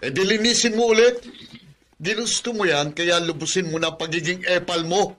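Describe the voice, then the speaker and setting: A man's voice: high-pitched, moderate pace, a bit bright. An actor playing an insolent, mocking, quarrelsome young Filipino man in a radio drama, sneering and taunting. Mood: scornful.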